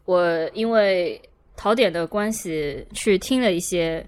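A woman's voice: lowish voice, slow, clean tone. A woman hosting a podcast, asking questions and chatting casually. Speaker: podcast host. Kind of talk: casual interview chat.

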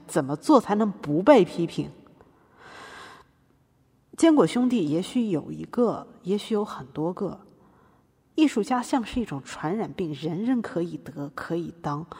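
A defiant activist artist played by a woman pleads her case in a podcast courtroom drama. Her voice is low and rough-edged, with earnest, rhetorical delivery that carries guilt and resolve. Long reverb surrounds her. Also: a little mellow.

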